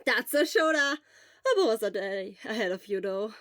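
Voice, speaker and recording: A woman's voice: a little high, expressive, moderate pace, a bit bright, no echo, studio quality. A woman playing a cheerful, teasing young man, an exuberant best friend in an audio story.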